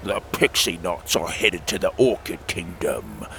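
Man doing a croaking, pathetic toad tyrant. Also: deep voice, big pitch swings, measured pace, pushed and bright, slight background noise, exaggerated voice. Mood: meek. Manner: croaking, whispering. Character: toad-like male dark ruler, weak and pathetic.

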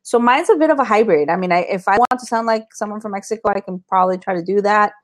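A cheerful woman who grew up in Costa Rica talks shop as a guest on a live video show. She is relaxed and chatty, laughing often, her voice sitting at an ordinary middle pitch. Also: fast talker. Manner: bubbly, laughs often, bilingual. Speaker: guest, marketing consultant. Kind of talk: casual livestream chat.

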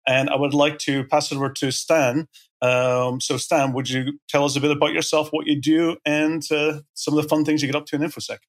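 A man of mid pitch hosting a podcast. He talks quickly and enthusiastically, with plenty of fillers. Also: level tone. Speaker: podcast host.

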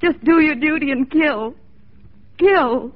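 A woman's voice: high-pitched, slow pace, faint music behind. An actress playing a proud, fiercely loyal young woman in a 1940s radio drama, earnest, emotional and insistent. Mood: bitter. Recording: tinny, narrow-band 1940s radio recording.

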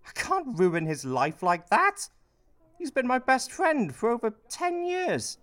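A woman's voice: fairly high pitch, huge pitch swings, unhurried, a bit bright, heavily acted. A woman with a British accent playing a weak, petulant and rather dim Prime Minister in a satirical radio play. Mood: flustered, whiny.

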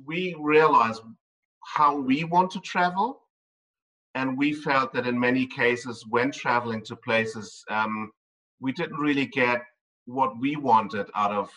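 Brief: male tour operator, bright edgy tone, chatty and meandering, sitting back from the mic. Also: mid-range voice, distant mic, low-quality recording. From Ireland and Germany. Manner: rambling, with frequent ums. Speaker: tour company owner. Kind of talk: conversational interview answers.

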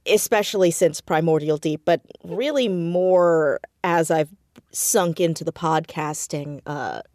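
A woman chatting casually as an interview guest, telling things in an animated, easygoing conversational way. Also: lowish voice, no room sound, studio quality. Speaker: podcast guest, audio fiction writer and actor.

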